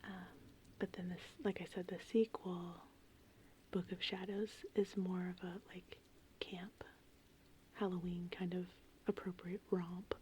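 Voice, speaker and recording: A woman whispering in a casual, meandering, unhurried ASMR ramble, with a breathy, creaky voice. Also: fairly low pitch, a little hiss or hum. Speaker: ASMR host. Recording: steady rain and thunderstorm ambience. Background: gentle rain and thunder.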